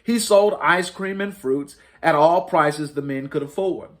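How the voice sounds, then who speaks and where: A man reading a storybook aloud to children as host, in an expressive, deliberate and emphatic storytelling manner. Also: a little high. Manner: deliberate, emphatic storytelling with dramatic rises and falls.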